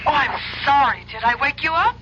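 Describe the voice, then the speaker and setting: A woman's voice: sits high, lively intonation, a little fast, sharp tone. A woman playing a concerned, conflicted sweetheart in a radio drama, speaking with tender, pleading feeling. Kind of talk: 1970s radio drama acting. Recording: dull radio recording, faint noise.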